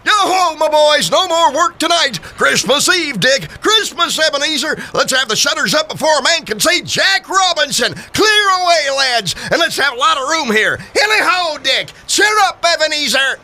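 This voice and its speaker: A man playing a jolly, boisterous old gentleman employer in an audio drama. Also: extremely high pitch, lively intonation, normal speed, few pauses, pitched above the performer's own voice, exaggerated voice. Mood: jovial, hearty.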